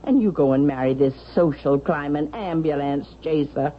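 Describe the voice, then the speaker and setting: An actress playing a cantankerous, snobbish older matriarch in theatrical vintage radio drama style. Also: female voice, low voice, moderate pace, lo-fi sound, close to an everyday voice. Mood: scornful, imperious. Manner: haughty, theatrical. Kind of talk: 1970s-80s radio drama acting. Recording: thin band-limited vintage radio, hissy.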